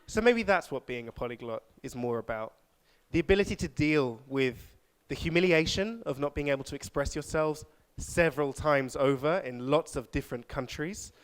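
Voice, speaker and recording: A man speaking at a conference, hosting audience questions and then giving measured, reflective closing remarks. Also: mid-range voice, clean tone. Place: lecture hall.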